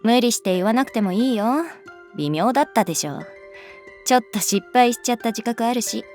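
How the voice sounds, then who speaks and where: A woman's voice: on the high side, animated, a little fast, darkish tone, soft background music, close to an everyday voice. A woman playing a laid-back adult schoolteacher in a Japanese voice drama, talking in a casual, nonchalant, teasing way. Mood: wry, teasing. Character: wry, laid-back adult female schoolteacher, quietly indulgent. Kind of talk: Japanese voice drama acting, natural dialogue.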